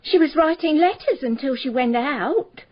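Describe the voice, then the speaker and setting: Radio play maid, female: high voice, respectful and precise, dull recording. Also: expressive, normal speed. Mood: helpful, matter-of-fact. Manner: respectful, precise, matter-of-fact. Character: deferential female maid answering a detective's questions. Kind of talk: radio drama acting.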